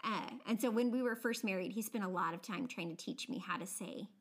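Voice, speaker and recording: A woman's voice: mid-range voice, brisk. An American woman with a subtle regional accent, co-hosting a podcast in a friendly, encouraging way and laughing easily. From United States. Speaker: podcast co-host. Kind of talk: casual podcast chat.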